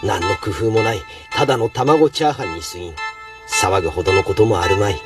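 An actor playing an arrogant middle-aged villain chef in an anime, sneering and haughtily formal. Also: male voice, fairly low pitch, fairly quick, close to an everyday voice. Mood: scornful. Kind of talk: anime acting. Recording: distant sound under loud music.

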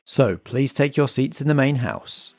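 A man's voice: medium pitch, animated, a little slow, darkish tone, dry recording. A man hosting a roleplay podcast, introducing it in a theatrical, scripted way. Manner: theatrical, dramatic. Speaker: host and compere.

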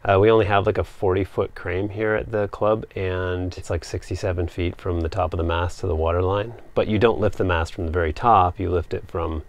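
A man's voice: low voice, steady tone, fairly quick, hardly any pauses. A man in his thirties, a sailing vlogger, explaining boat maintenance step by step in a practical, matter-of-fact way. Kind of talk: casual how-to narration. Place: on a sailboat at a marina.

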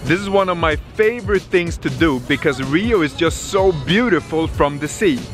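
A man's voice: on the high side, expressive, moderate pace. A man speaking as a travel vlogger and guide, giving casual, enthusiastic commentary to camera outdoors on a boat. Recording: loud music under outdoor boat recording. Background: loud music, boat sounds.

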